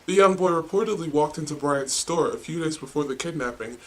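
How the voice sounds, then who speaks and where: A man with a fairly high voice anchors a newscast. He reads scripted stories in the manner of a news report. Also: fairly quick, a bit bright.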